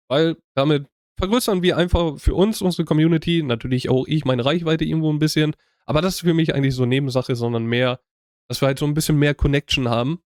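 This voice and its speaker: A young man hosting his own channel, talking casually to his viewers and rambling with many fillers and restarts in relaxed slang. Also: fairly high pitch, dry recording, studio quality. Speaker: YouTube reaction channel host.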